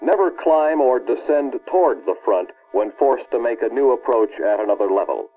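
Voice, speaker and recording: An American man reads scripted instructional narration for an early 1960s military film in a formal, authoritative, measured way. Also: mid-range voice, sing-song, faint music behind. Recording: muffled, band-limited old film soundtrack.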